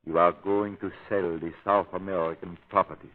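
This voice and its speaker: Guy doing a low menacing mastermind, slow commanding hypnotist orders, old muffled radio drama. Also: little pitch movement, lightly acted. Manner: commanding, deliberate. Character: cold, domineering villain hypnotist giving orders. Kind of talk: 1930s radio acting.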